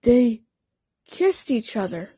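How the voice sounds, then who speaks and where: A woman's voice: fairly high pitch, very animated, takes it slowly, warm tone, breathy edge, no room sound, clean studio sound. A woman narrating a story dramatically in the first person, as in an audio play. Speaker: narrator and voice actor.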